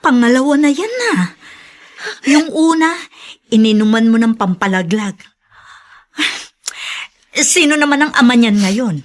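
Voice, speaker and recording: A woman's voice: on the high side, lively intonation, a little slow, pauses often. A woman playing a blunt, exasperated older sister, a busy professional, in a conversational radio drama style. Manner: scolding, sighing, conversational. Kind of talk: Tagalog radio drama acting.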